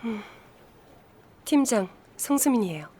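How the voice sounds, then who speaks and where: A woman's voice: on the high side, unhurried. An actress in an audio drama playing a curt, blunt female team leader in her thirties. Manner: blunt, deadpan. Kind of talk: Korean audio drama acting.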